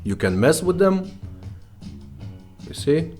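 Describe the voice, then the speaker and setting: A man's voice: on the high side, sing-song, slow pace, darkish tone, soft background music. A man giving a casual, informal product demo, often checking in with short tag questions as he explains. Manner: informal, checks in with "okay?" and "right?". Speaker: presenter and car radio retrofitter.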